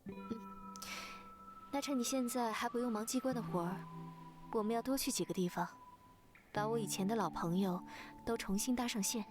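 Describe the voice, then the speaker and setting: An actress voicing a devoted, soft-spoken older sister with wistful tenderness in a visual novel. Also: female voice, a little high, fairly quick, pauses often, lightly acted. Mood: fond, gentle. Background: game music.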